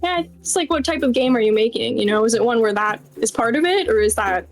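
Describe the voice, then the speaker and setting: A woman speaks as a guest game developer in a casual interview. Her voice sits fairly high and she talks informally, with plenty of conversational asides. Music plays behind her. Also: lively intonation, fast talker. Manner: chatty, informal, many "like" and "you know" asides.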